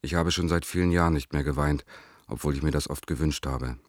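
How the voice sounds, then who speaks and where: Extremely low voice, dry sound, despairing self-loathing lover, sober confessional letter monologue, radio play. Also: male voice, fairly quick, no echo. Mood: despairing, self-reproachful. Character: despairing, self-loathing married man writing to his lover.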